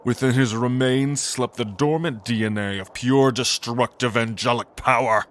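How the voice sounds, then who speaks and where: A man's voice: mid-range voice, fairly even intonation, moderate pace, natural voice. A man playing an ancient, arrogant angel lord, a gloating villain who speaks with grandiose contempt in a fantasy audio drama. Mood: contemptuous, boastful.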